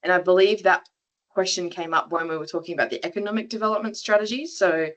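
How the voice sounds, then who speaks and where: A woman's voice: on the low side, quick pace. Upbeat woman moderating a webinar. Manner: upbeat, reading out audience answers and questions. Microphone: video call.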